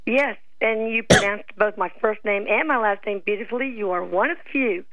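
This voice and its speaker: A woman calling in as a radio show guest, hoarse from a cold, quick and friendly. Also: mid-range voice, no room sound. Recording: dull phone line.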